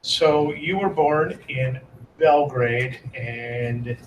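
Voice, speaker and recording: A man's voice: medium pitch, very slow, highs cut off, slight background noise. A middle-aged man moderating a public talk, chatty and joking with the audience. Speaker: moderator, interviewer. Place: lecture hall before an audience. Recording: roomy hall, from a distance.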